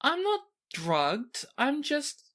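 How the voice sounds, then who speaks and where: A man's voice: extremely high pitch, wildly swooping pitch, extremely slow, bright, forceful tone, pitched above the performer's own voice, full character voice. A man voicing a drugged, childlike wolf man patient in a tabletop game, adoring and sleepy, trailing off in simple phrases. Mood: dreamy, happy.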